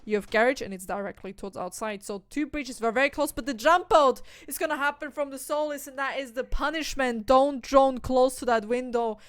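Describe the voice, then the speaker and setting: Young woman, esports caster, fairly high voice, dry close sound. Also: fairly quick, hardly any pauses, smooth, dry recording. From Austria. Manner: analytical, rising to excited bursts on kills. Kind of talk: solo play-by-play commentary.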